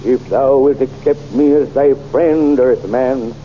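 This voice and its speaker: An actor in a 1930s radio serial playing a noble, bearded warrior prince who speaks slowly and gravely in archaic thee-and-thou speech. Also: male voice, mid-range voice, a little hiss or hum, close to an everyday voice. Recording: dull old radio serial recording.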